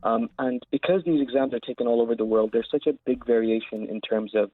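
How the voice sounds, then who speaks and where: Male interview guest, twenties, from Saudi Arabia, fast conversational delivery, dry narrow call-line sound. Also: mid-range voice, no room sound. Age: 20s. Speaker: interview guest, founder of an education platform. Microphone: remote call line. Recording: narrow phone-like live line.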